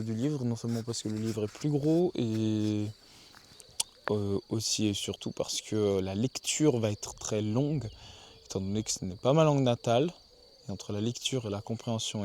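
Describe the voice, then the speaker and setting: A man's voice: on the low side, normal speed, darkish tone, a little hiss or hum. A man keeping a casual spoken diary outdoors in the countryside, thinking aloud and often restarting his sentences. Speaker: video journal keeper.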